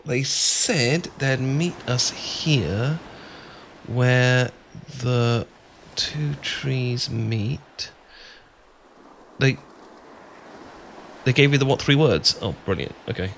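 A man's voice: mid-range voice, a bit bright, heavily acted. A British man playing an adorkable, bashful young friend in an ASMR boyfriend roleplay, hesitant, stammering and rambling. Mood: flustered, friendly. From Britain. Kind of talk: ASMR boyfriend roleplay, improvised-sounding casual chat. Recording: clear background noise with ambience.

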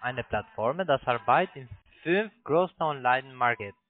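A man with a foreign accent, not a native speaker, making a halting sales pitch over the phone in broken sentences with repeated restarts. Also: animated. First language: not German. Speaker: phone sales agent, investment scam caller. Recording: tinny narrow phone line.

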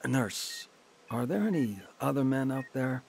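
A man's voice: medium pitch, animated, a little slow, gravelly, slight background noise. An actor with an American accent playing a wary, hard-bitten man on the run in a radio drama, terse and wry. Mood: guarded, wry. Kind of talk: radio drama acting and first-person narration.

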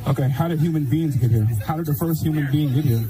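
A man's voice: on the high side, fast. A man preaching on the street, insistent and pressing, often stammering and repeating his words. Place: outdoors on a street. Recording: clip with music playing over it.

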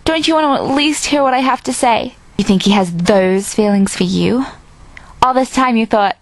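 A woman's voice: on the high side, moderate pace, no room sound, close to an everyday voice. A young woman voicing a poised, menacing female rival with formal phrasing in an amateur audition. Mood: taunting. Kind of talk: amateur audition line reading.

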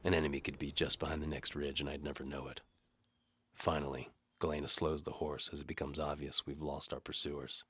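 A man narrating a first-person noir-style audio drama in a wry, deadpan manner. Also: rumbling low, a little fast, a little hiss or hum.

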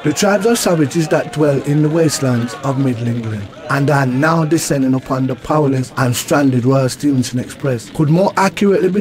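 High-voiced, mild-mannered male robot played by an actor. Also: a little mellow, natural voice, poor audio. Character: polite, anxious robot train attendant, male, mild-mannered. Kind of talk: audio drama acting.

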